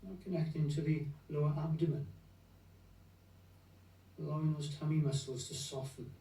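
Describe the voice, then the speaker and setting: Mellow, soothing male meditation guide. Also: on the high side, fairly even intonation. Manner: gentle, unhurried, soothing, with long pauses. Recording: roomy echo, distant from the mic.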